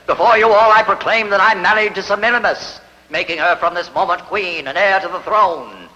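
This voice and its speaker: A man's voice: sits high, fairly quick, sharp tone, muffled recording. An actor playing a proud, aging, authoritative king in a dubbed historical epic, speaking in a formal, regal, declamatory way. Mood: stern, resolute. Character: proud aging king of Assyria, self-styled god, authoritative. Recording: long hall reverb.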